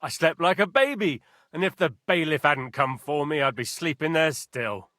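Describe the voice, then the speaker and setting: A man's voice: a little high, animated, moderate pace, pushed and bright, no room sound, studio quality. An actor playing a cheerful, roguish petty thief in a game, telling his story in a boastful, chuckling way. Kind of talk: game dialogue.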